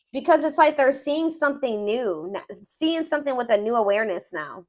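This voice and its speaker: A woman giving a casual, improvised tarot reading, thinking aloud and rephrasing herself as she goes. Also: fairly high pitch. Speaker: tarot reader.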